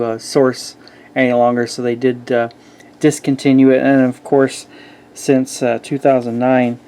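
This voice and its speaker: A man giving a casual, halting walkthrough as a hobbyist reviewer, pausing often as he goes. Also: mid-range voice, dark tone. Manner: halting, hesitant delivery with frequent pauses.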